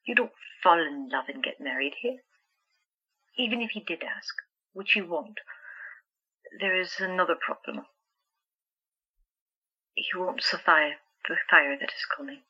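A woman's voice: on the low side, animated, unhurried, pauses often, sharp tone, breathy edge, highs cut off, exaggerated voice. A woman playing a stubborn young sister plagued by visions in an audio drama, speaking earnestly and insistently. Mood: somber.

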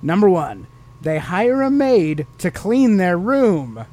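A man's voice: high-pitched, very animated, slow, heavy background noise. A man hosting a podcast, chatting casually and asking playful questions as if to a child. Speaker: podcast host.

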